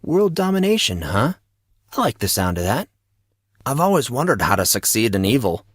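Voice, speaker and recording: Man playing a husky, animated teenage schoolboy. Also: mid-range voice, moderate pace, no echo, clean studio sound. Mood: playful, curious. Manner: informal, youthful slang. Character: teenage schoolboy, emotional and bantering with close friends. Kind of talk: audio drama acting.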